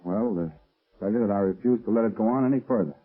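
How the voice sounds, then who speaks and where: A man's voice: fairly low pitch, breathy edge. An actor playing a meek, guilt-ridden family man in an old radio drama, speaking hesitantly and nervously. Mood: nervous, conscience-stricken. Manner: hesitant, halting delivery. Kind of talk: 1930s-40s radio drama acting. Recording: muffled, tinny vintage radio recording.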